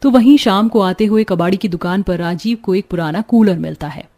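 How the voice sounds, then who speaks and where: A woman's voice: medium pitch, a little fast, dark tone. A woman reading scripted narration for a cartoon story. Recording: music behind the voice. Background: background music.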